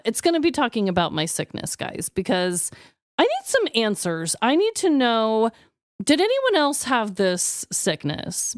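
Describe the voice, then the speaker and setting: A woman's voice: a little high, clear, resonant tone, dry recording, clean studio sound. A woman in her thirties chatting casually as a podcast co-host, exclamatory and freely swearing. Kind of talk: casual chat.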